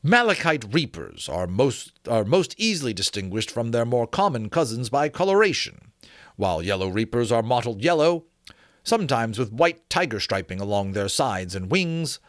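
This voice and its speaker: Learned old-world gentleman lecturer played by a male reader, pompous and formal, highly animated pitch, dry studio-close sound, storybook narration. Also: moderate pace, dry recording. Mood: lecturing, emphatic. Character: pompous learned Georgian gentleman scholar writing on dragons. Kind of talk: dramatic storybook reading.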